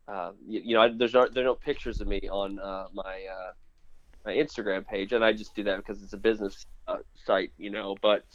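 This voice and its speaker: A man in his forties chats as a podcast guest, stopping to fill gaps with hesitant pauses. His voice sits low and comes through a dull, muffled phone line. There is no room sound around him at all. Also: a bit bright, no room sound. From United States. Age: 40s. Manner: hesitant, many filler pauses. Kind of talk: casual chat. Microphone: phone on speaker.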